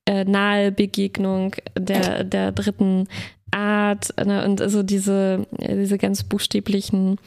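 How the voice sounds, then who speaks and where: A woman's voice: mid-range voice, completely flat delivery, slow pace, vocal fry, darkish tone. A woman talking in a casual conversation, explaining her thoughts with many fillers and agreeing hums.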